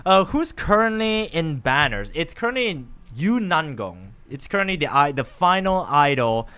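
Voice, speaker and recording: A man with a fairly high, clean and smooth voice hosts a game livestream. He talks in a casual, rambling way and often circles back to repeat a phrase for emphasis. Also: sing-song, moderate pace, sharp tone.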